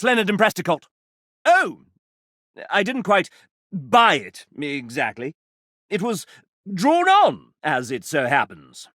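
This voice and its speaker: An actor voicing a sassy, vain gentleman mascot in a video game, theatrical and pompous, with flamboyant old-fashioned phrasing and a husky edge. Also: high-pitched, huge pitch swings, slow pace, pauses often, no room sound, studio quality, exaggerated voice. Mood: proud, flustered. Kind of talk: video game character dialogue.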